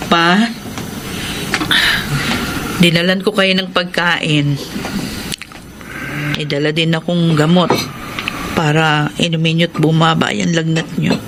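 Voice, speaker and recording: A woman's voice: sits low, fairly even intonation, slow pace, long pauses, background noise. A woman playing an emotional, forgiving adult daughter caring for her ailing father in a Filipino radio drama. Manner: emotional, tender. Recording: loud music under the voice.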